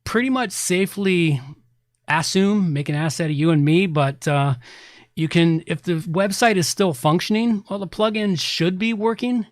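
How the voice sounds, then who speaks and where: A man's voice: high voice, normal speed, no echo, clean studio sound. A middle-aged man hosting a podcast, talking casually in a rambling, blunt, informal way. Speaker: podcast host and website consultant. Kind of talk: casual tech podcast talk.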